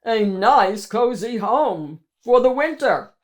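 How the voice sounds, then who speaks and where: A woman's voice: fairly high pitch, animated, slow. A woman voicing a huge, hungry male monster in a children's storybook, growling, roaring and mocking. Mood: roaring, pleased.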